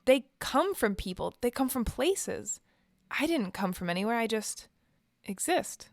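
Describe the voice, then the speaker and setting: A woman's voice: a little high, big pitch swings, a little slow, creaky, no echo, studio quality, full character voice. A woman playing a guarded, self-doubting young trainee in an audio drama, hesitant and downbeat in naturalistic dialogue. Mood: uncertain, searching. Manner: hesitant, downbeat, clipped answers.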